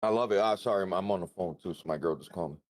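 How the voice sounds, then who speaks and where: A man co-hosting a livestream, making slangy, excitable remarks. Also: low voice, racing, dry recording. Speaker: stream co-host. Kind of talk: casual livestream chat.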